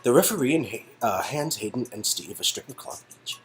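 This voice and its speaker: A man performs a read-aloud of a story game in a casual, playful style. His delivery is jokey and swings widely in pitch. There is a faint noisy ambience behind him. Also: expressive, fairly quick. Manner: jokey, goofy, prone to ad-libbing. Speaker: co-reader performing a visual novel game.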